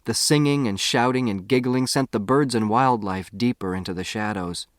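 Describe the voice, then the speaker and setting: A man narrates a novel in the first person with a medium-pitched voice. The delivery is scripted storytelling, recorded close in a dry studio. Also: moderate pace, no room sound. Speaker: audiobook narrator.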